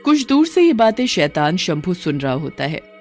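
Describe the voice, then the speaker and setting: A narrator tells a scripted cartoon folk story. The pitch moves up and down in a lively way around a middle register. Music plays behind the voice. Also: normal speed. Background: background music.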